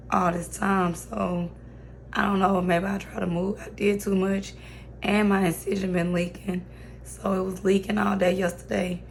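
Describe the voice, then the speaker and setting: A young woman vlogging casually with a hoarse, raspy voice, talking slowly and quietly with many pauses and fillers. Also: mid-range voice, slight background noise. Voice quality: raspy, worn-out voice. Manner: slow, subdued talk with many fillers. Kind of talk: casual vlog chat.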